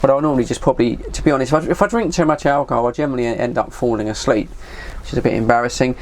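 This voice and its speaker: A man's voice: mid-range voice, very fast. A middle-aged man with an English accent chats casually and ramblingly to viewers, self-deprecating and mildly sweary. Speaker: hobbyist vlogger and CB radio enthusiast. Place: shed.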